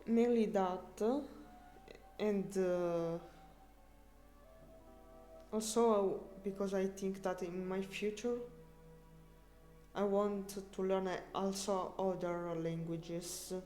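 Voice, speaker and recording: Slow, mellow-voiced woman searching for words. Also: mid-range voice, fairly even intonation, soft background music. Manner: halting non-native English, frequent restarts and searching for words. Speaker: streamer. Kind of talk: casual live-stream chat.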